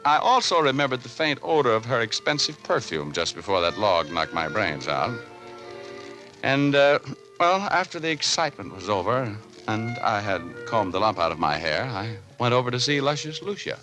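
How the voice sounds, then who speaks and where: An actor playing a wisecracking, tough but easygoing private detective in a 1940s radio drama, with colloquial, wry delivery. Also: male voice, fairly low pitch, very animated, moderate pace, rough recording. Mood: wry, explaining. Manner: colloquial, wry, hesitant lead-ins. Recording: vintage radio recording with music.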